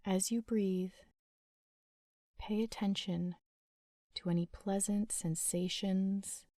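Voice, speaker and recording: A woman leading a guided sleep meditation in a gentle, soothing, unhurried manner with long pauses. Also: mid-range voice, fairly even intonation, no room sound, studio quality.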